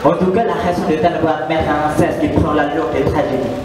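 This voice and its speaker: A man's voice: fairly high pitch, steady tone, fairly quick, background noise, close to an everyday voice. A young man with an Indonesian accent playing a worldly, resigned Roman patrician in an amateur French-language dramatic stage reading. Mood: cynical. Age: young adult. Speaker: student actor. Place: indoor performance hall, recorded from a distance. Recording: very echoey hall from far off, audience murmur.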